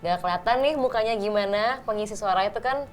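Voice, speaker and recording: A woman's voice: mid-range voice, quick pace, slight background noise. A woman who is a guest actor, explaining her preparation earnestly, with fillers. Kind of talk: casual interview chat.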